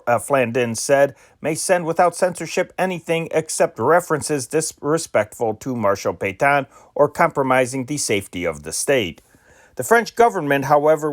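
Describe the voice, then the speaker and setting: A man reading old newspaper news dispatches aloud in an amateur style, with occasional slips and restarts. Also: a little high. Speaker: reader of historic news dispatches.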